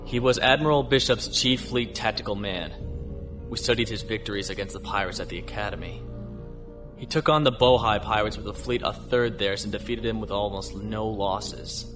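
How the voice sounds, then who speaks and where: An actor playing a calm, thoughtful male starship captain, measured and understated, in a sci-fi audio drama. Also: fairly low pitch, music in the background, lightly acted.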